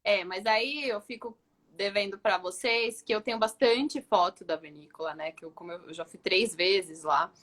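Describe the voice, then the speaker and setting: A woman's voice: medium pitch, moderate pace, fairly bright tone. A woman hosting a casual live interview, effusive and enthusiastic as she chats with her guest. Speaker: live stream host.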